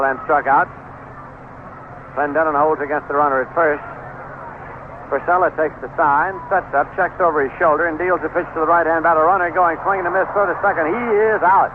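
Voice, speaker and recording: Through heavy noise and a thin, narrow radio band comes a male baseball announcer. He has a slightly high voice and calls the game in measured, short descriptive phrases, in the manner of 1970s sports radio. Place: ballpark broadcast booth. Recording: noisy, narrow-band old AM radio broadcast.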